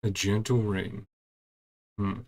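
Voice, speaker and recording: A man's voice: lowish voice, level tone, slow pace, vocal fry. A man giving casual live commentary as he streams a game, muttering to himself and trailing off.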